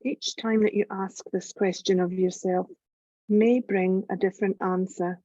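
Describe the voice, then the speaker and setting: A woman leading a guided yoga nidra meditation in a gentle, calm, measured voice with long pauses. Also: mid-range voice, no echo, muffled recording. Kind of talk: slow guided relaxation meditation narration.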